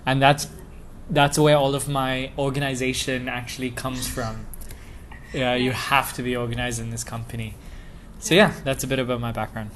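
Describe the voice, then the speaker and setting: Chatty young man, relaxed, with fillers. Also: medium pitch, normal speed, a little hiss or hum. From Dubai. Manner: relaxed, many fillers, chuckles often. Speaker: podcast guest. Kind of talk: casual interview chat.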